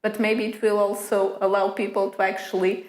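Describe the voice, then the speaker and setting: A Portuguese woman speaking English as a guest in a casual interview, thoughtful, pausing often with fillers and light laughs. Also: medium pitch, little pitch movement. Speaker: head of design, guest. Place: small office. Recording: roomy echo.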